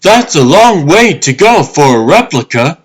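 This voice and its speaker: A man's voice: a little high, very animated, unhurried, breathy edge, voice pushed up, exaggerated voice. A man playing an exasperated young government agent in a comic dub, sarcastic and indignant. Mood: sarcastic, geeky.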